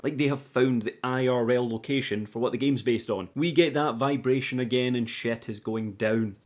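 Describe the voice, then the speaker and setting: A man's voice: medium pitch, moderate pace, darkish tone. Cheeky British man recapping a film. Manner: cheeky, sarcastic, sweary. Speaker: film reviewer, channel host. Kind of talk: scripted recap narration with casual sweary commentary.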